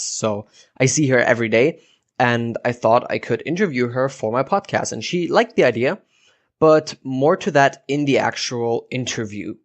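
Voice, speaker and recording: A young man from Germany with a German accent, hosting a casual interview podcast as a non-native speaker. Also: medium pitch. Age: young adult. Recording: dull lo-fi podcast audio.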